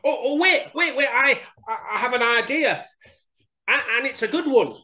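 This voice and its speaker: A man voicing a tiny, timid but earnest female mouse in a children's story, squeaky and stammering. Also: animated, normal speed, sharp tone, full character voice. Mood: frightened, pleading. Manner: stammering, quivering.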